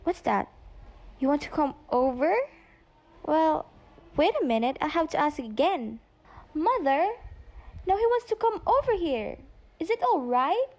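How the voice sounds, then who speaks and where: A woman's voice: high-pitched, expressive, a little slow, pauses often, a little mellow. A woman in an amateur radio play acting a pleading, dramatic teenage daughter who is boy-crazy. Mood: disappointed. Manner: pleading, melodramatic.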